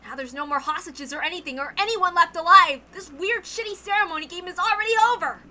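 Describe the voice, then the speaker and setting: A woman voicing a hot-headed, anguished, foul-mouthed young man with a blunt, shouting delivery in a dramatic mystery reading. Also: very high-pitched, quick pace, heavily acted. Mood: furious.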